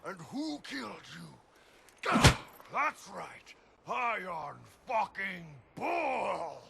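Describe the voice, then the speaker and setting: An actor playing a burly horned giant warrior in a video game, gruff, blunt and hearty, swearing forcefully. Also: male voice, sits high, very animated, extremely slow, noisy background, exaggerated voice. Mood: straining, fierce. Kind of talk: video game cutscene acting.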